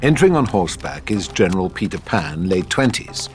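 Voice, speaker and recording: A man's voice: sits low, sing-song, fairly quick, faint music behind. A man reading stage directions and scripted narration for an audio drama table read.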